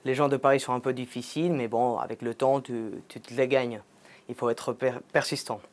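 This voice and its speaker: A man's voice: mid-range voice, fairly even intonation, brisk. A young man with a Spanish accent, a master's student speaking thoughtfully with some hesitation. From Spain. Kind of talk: testimonial interview.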